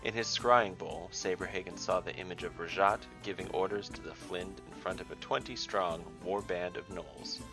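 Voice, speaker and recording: A man hosting and narrating a scripted fantasy story as its dungeon master, in a dramatic, deliberate way. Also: sits low, over music.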